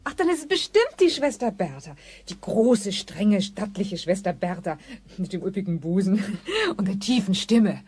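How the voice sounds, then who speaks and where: A woman's voice: mid-range voice, very animated, moderate pace, a little mellow, slightly rough. An actress playing a gushing, inquisitive, chatty mother in a German children's radio play. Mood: delighted, chatty. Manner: effusive, inquisitive. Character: gushing, chatty middle-class mother of a schoolgirl.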